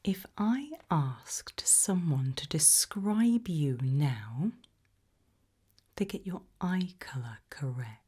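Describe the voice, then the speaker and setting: A British woman playing an eerie, probing researcher of human behaviour in a whispered ASMR roleplay, slow, deliberate and softly menacing. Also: low-pitched, lively intonation, heavily acted. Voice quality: breathy whisper.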